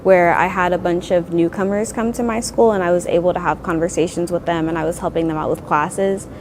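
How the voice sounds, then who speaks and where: A woman's voice: on the low side, steady tone, a little fast, slight background noise. Earnest young woman student. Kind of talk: interview answers.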